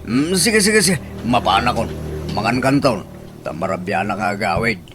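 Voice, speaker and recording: A man's voice: on the low side, animated, normal speed, music in the background, close to an everyday voice. An actor playing an easygoing older village man in an Ilocano comedy radio drama, with an Ilocano accent. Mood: casual, sheepish.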